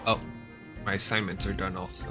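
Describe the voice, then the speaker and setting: A man with a lowish voice comments casually on a game as he plays. He is a laid-back, slangy streamer. Music is clearly audible behind him. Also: flat delivery, a little fast. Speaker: gaming streamer.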